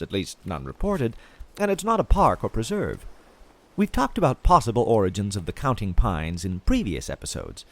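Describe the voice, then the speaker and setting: A man playing an obsessive, chatty podcaster who confides in his listeners about his treasure-hunting expedition in an audio drama. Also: medium pitch. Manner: theatrical, confiding, addresses listeners directly. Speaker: podcast host in audio fiction. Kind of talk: audio fiction monologue as a podcast host.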